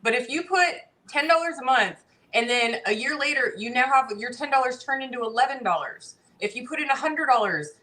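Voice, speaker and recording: Forceful, blunt woman in her forties. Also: high-pitched, expressive, fairly quick, hardly any pauses, rough recording. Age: 40s. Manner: emphatic, blunt, lecturing with repetition. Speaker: interview guest. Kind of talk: casual interview.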